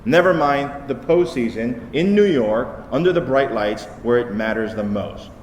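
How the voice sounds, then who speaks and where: A man's voice: mid-range voice, expressive, normal speed. A man giving a short conference talk, punchy and emphatic, checking in with the audience with "okay?". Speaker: conference speaker. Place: large conference hall. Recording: echoey hall with light noise.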